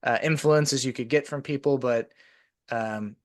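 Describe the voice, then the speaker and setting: A man's voice: mid-range voice, moderate pace. A man hosting a podcast. He chats casually and rambles through long stories, with many "like" fillers. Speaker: podcast host. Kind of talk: casual conversation.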